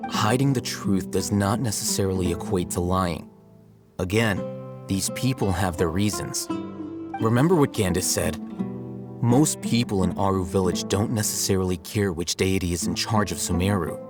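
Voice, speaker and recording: Casting: man to play young game scholar, low voice, aloof and condescending, measured logic, music behind. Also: close to an everyday voice. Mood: explanatory. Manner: measured, condescending, matter-of-fact. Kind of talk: video game voice acting. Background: guitar music.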